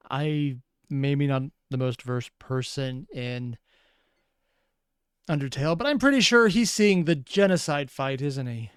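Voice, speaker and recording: Low-voiced woman giving clear, dry commentary. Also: slow, long pauses, no echo. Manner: musing, questioning, sighing between remarks. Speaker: reaction video host. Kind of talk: reaction commentary, analytical asides.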